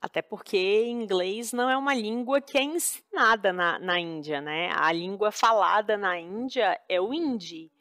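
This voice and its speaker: A woman, co-hosting a podcast and chiming in with chatty, animated stories. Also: mid-range voice, moderate pace, hardly any pauses, no room sound, clean studio sound. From Brazil. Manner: chatty, interjecting, animated storyteller. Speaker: podcast co-host. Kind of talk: casual storytelling chat.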